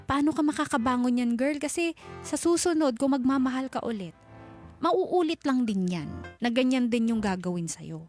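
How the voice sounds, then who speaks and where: A woman with a fairly high voice and a Filipino accent gives frank, scolding radio advice. She keeps checking that she is understood, with some music underneath. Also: lively intonation, moderate pace, darkish tone. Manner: blunt, scolding, repeatedly checks for agreement. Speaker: radio advice show host. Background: background music.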